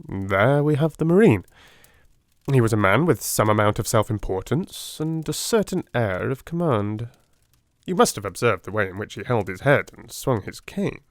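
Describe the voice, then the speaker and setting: A man's voice: mid-range voice, no room sound, clean studio sound, lightly acted. A man playing a brilliant, brusque and self-assured detective in a dramatised audiobook, with a precise, lecturing manner. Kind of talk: dramatised audiobook character acting.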